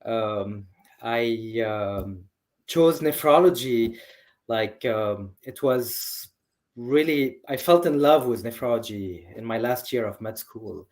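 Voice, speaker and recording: A man's voice: mid-range voice, takes it slowly, darkish tone. Enthusiastic man, a doctor being interviewed. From Lebanese family, grew up in several countries. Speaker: nephrologist, interview guest. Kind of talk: conversational interview answers.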